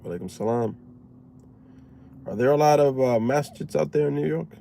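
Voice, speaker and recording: A man's voice: mid-range voice, moderate pace, vocal fry. A man from Miami hosting a casual livestream from his car, chatting loosely with viewers and greeting them by name. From Miami, Florida. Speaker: livestream host. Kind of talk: casual live chat with viewers. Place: inside a car. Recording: loud car and traffic noise.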